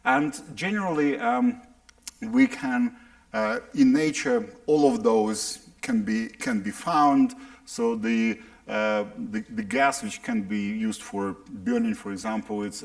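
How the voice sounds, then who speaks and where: A middle-aged man with a Russian accent delivers a public science lecture in a deeply gravelly, creaky voice. His pitch swings widely up and down. He speaks haltingly, repeating words and restarting phrases as he goes. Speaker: physicist giving a public lecture.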